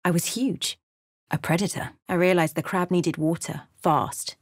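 A woman voicing a wry, intense female adventurer in a fantasy video game, telling her encounters in measured, dramatic storytelling. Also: lowish voice, fairly even intonation, dry recording, clean studio sound. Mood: grave narration. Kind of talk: scripted fantasy game narration and character lines.

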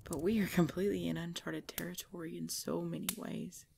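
A woman playing a tormented, devoted pregnant vampire in an intimate ASMR roleplay, halting and breathy, often dropping into a whisper. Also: fairly low pitch, fairly even intonation, mellow, slight background noise. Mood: weary, tender. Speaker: voice actor in audio roleplay.

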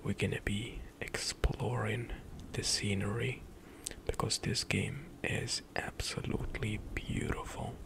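Slow whispering young man, gravelly. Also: fairly low pitch, flat delivery. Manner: soft whispered rambling with light laughs. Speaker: ASMR gaming streamer. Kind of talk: whispered ASMR gameplay commentary. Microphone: close studio mic, headset on.

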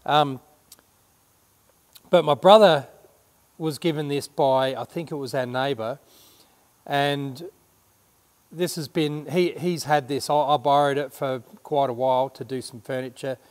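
A man's voice: medium pitch, fairly even intonation, measured pace, long pauses, big-room sound. A middle-aged man, chatting casually to camera in a big workshop, rambling and correcting himself as he explains. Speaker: renovation vlogger. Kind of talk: casual show-and-tell to camera. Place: large workshop shed indoors.

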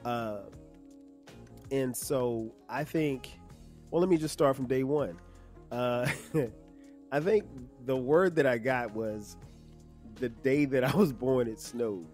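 A man in his fifties from South Carolina, hosting a solo podcast in a relaxed, conversational way with many fillers. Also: mid-range voice, takes it slowly, pauses often, soft background music. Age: 50s. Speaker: podcast host. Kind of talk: casual solo podcast monologue.

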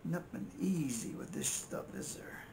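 A man's voice: a little high, a little slow, creaky. An older American man with a folksy way of thinking aloud, casually narrating as he works at a repair bench. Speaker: electronics repair hobbyist and video host. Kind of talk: casual muttered narration while working at repairs. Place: indoors at a repair workbench. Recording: steady noise, home-made workbench recording.

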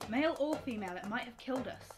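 A woman's voice: fairly high pitch, expressive, quick pace, noisy background, close to an everyday voice. A woman playing a grumbling, sceptical young male companion in an amateur radio drama.

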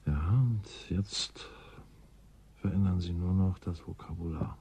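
An actor playing a brooding, resigned male scientist in a radio play, speaking in a slow, halting, deliberate way with a husky, breathy voice. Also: low voice, warm tone, heavily acted. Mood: musing, lecturing. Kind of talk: German science fiction radio drama acting.

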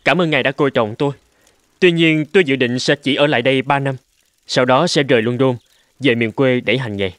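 A man's voice: medium pitch, a little fast, a bit bright, dry recording, close to an everyday voice. An actor voicing a polite, idealistic young medical man in a dubbed cartoon, respectful and earnest in his delivery. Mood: respectful, resolved. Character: polite, idealistic young man, doctor's apprentice turned physician.

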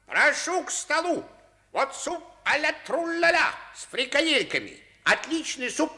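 An actor playing a jolly, careless ship's cook in a radio play, in theatrical sing-song rhymed patter. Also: male voice, very high voice, big pitch swings, unhurried, bright, forceful tone, echoey room, full character voice. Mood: hospitable, carefree. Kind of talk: Soviet radio play acting, rhymed half-sung patter.

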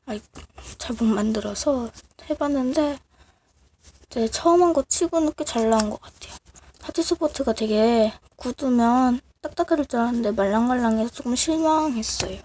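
A woman with a cold that makes her voice sound off, casually showing handmade crafts in a halting, apologetic, self-deprecating way. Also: on the high side, darkish tone. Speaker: craft video creator. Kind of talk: casual show-and-tell of handmade clay miniatures. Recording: noisy amateur recording with clicks.

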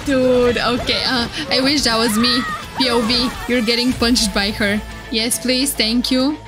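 A woman streamer giving excited, casual reaction commentary in a playful, flirty, gushing way. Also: fairly high pitch, unhurried. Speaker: streamer reacting to game trailers. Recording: loud music behind. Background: game trailer music and sound.

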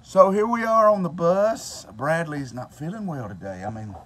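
A man's voice: fairly high pitch, expressive, moderate pace, fairly bright tone. A man, casually vlogging from a tour bus and chatting with his bandmates. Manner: folksy, teasing, chatty. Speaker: singer hosting a tour vlog. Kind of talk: casual phone vlog. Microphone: phone at arm's length. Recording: noisy phone audio in a bus.

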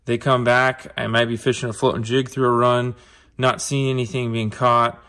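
A man's voice: mid-range voice, little pitch movement, moderate pace, bright, forceful tone. A man hosting a fishing show, giving a casual, upbeat tackle tutorial from his shop and talking straight to viewers. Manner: upbeat, conversational, addresses viewers as guys. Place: indoor shop, tabletop demo.

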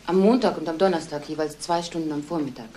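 Low-voiced, plain-spoken cleaning woman played by a woman. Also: fast, dark tone, slight background noise, lightly acted. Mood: explanatory. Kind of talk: German crime radio drama acting.

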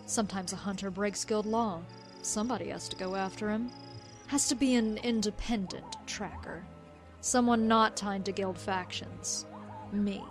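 A woman performs a ruthless bounty hunter in a dramatic comic dub. Her delivery is cold, clipped and deliberate, aloof and threatening. Music plays clearly behind her. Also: mid-range voice, natural voice. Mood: cold, menacing.

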